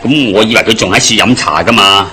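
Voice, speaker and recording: An actor playing a grumbling, henpecked village husband with a Hong Kong Cantonese accent, sighing and complaining in a radio drama. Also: male voice, lowish voice, fast talker, pushed and bright, a faint crowd.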